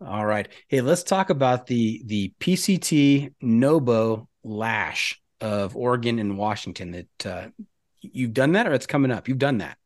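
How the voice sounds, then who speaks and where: An American man with a medium-pitched voice hosts a relaxed podcast interview in a dry studio. He puts questions with curiosity and banters casually as he goes. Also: a little slow, dry recording. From United States. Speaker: podcast host.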